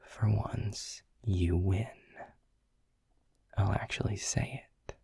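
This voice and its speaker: A man playing a world-weary, grumpy male middle manager who talks in a hushed, breathy, sardonic way and swears casually in an audio roleplay. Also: lowish voice, fairly even intonation, takes it slowly, dry recording, whispered, heavily acted. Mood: wry, teasing. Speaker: boss.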